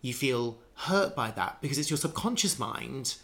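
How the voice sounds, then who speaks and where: A man's voice: mid-range voice, expressive, normal speed, gravelly, pushed and bright. A man in casual conversation, musing at length with many restarts and fillers. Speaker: conversation partner.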